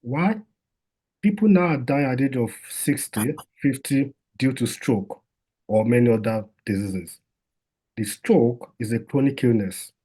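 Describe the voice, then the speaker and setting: A man's voice: medium pitch, a little slow, mellow, slightly rough, poor audio. A man, a doctor, giving an informal health talk in a conversational way, with lots of fillers and restarts.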